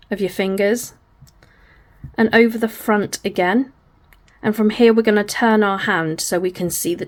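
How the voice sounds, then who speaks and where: A woman with a croaky, hoarse voice teaches crochet slowly and patiently. She talks through each hand movement as she films, sounding apologetic and plain, at a middling pitch. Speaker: crochet tutor. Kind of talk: step-by-step craft tutorial.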